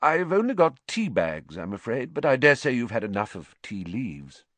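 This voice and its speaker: A man voicing a calm, kindly male professor with a mild, thoughtful manner in an audiobook. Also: mid-range voice, moderate pace, dry recording, voice pushed up. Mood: hospitable.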